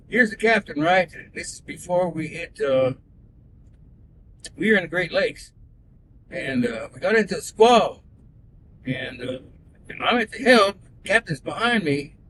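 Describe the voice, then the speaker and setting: A man's voice: expressive, unhurried, pauses often. A man from Canada, born in Belgium, telling long folksy sailing stories as a rideshare passenger, full of "uh" and restarts. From born in Belgium, raised in Canada. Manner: folksy, digressive, with frequent "uh" and restarts. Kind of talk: rambling casual storytelling. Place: inside a moving car. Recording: hidden mic in a car, road noise. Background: road rumble.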